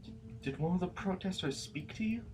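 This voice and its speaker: A woman acting an anxious, earnest comrade in a science fiction audio drama, speaking in a halting, pleading way. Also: on the low side, animated, a little mellow, soft background music, natural voice. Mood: uneasy, subdued.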